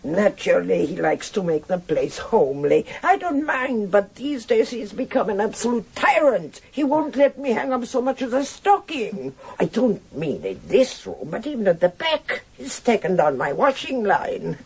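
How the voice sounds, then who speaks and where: An actress playing an eccentric, blunt old countess with a German accent in a radio drama. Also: female voice, medium pitch, sing-song, moderate pace, gravelly, hardly any pauses, a little mellow, slightly rough, heavily acted. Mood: imperious, grumbling. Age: older. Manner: blunt, grumbling, rambling.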